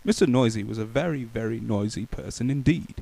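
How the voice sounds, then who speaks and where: A man reading a children's picture book aloud in a playful, expressive way. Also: medium pitch, fairly quick, gravelly. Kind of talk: animated storybook read-aloud.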